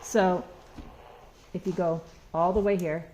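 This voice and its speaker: A woman's voice: on the low side, fairly even intonation, takes it slowly, mellow. A woman talking informally and encouragingly at home, explaining things step by step in casual chat. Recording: noisy amateur home recording with clicks.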